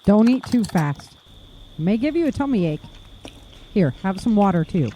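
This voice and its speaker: Actress as mellow, expressive woman survivor. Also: mid-range voice, normal speed, a little hiss or hum, lightly acted. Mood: gentle concern. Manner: gentle, direct questioning. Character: caring, honest female survivor comforting a child. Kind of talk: audio drama acting.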